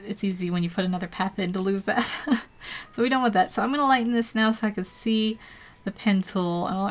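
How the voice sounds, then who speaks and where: A young woman chatting casually on a live stream while teaching an art program, rambling and laughing often. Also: mid-range voice.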